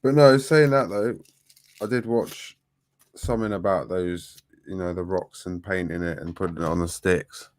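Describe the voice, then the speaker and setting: Very deep-voiced blunt man. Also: normal speed. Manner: blunt, offhand, swears freely. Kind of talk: casual chat.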